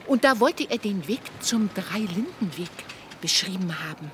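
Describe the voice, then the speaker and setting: A woman's voice: medium pitch, animated, moderate pace, a little hiss or hum, natural voice. An actress playing a chatty, observant kiosk woman and housewife giving matter-of-fact testimony in a German radio crime drama.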